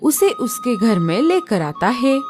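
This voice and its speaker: Children's storyteller: a woman, slightly high, animated and unhurried. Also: over music. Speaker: narrator.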